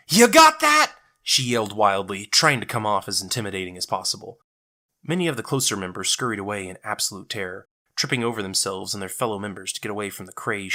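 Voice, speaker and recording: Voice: deep voice, pushed and bright. A narrator reading a scripted action story in a dramatic style.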